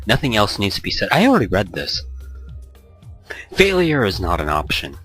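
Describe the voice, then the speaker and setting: Low-voiced, animated man doing a Let's Play. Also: moderate pace, faint music behind. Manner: rambling, joking. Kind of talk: casual commentary and reading visual novel text aloud.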